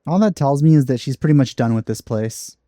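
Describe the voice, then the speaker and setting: A man's voice: medium pitch, a little fast, dark tone, no echo, studio quality. A man giving casual, wry commentary over a game he is playing. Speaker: let's play commentator.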